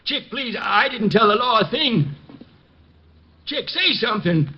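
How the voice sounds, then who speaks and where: An actor playing a frightened, pleading older ex-convict informant in a 1950s radio drama. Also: male voice, high-pitched, lively intonation, measured pace, husky, exaggerated voice. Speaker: old informant. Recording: dull old radio transcription.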